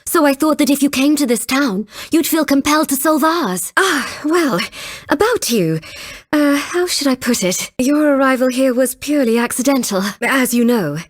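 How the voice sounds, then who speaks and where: A woman's voice: high voice, lively intonation, normal speed. An actress playing a haughty, vengeful young woman inquisitor in a dramatic video game, with an imperious, theatrical delivery. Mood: composed, regretful.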